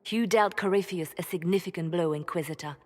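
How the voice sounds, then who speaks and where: A woman's voice: lowish voice, fairly quick, big-room sound, close to an everyday voice. An actress playing a reserved, watchful woman spymaster in a game cutscene, speaking carefully and formally. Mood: grave, businesslike. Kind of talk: dramatic game cutscene dialogue.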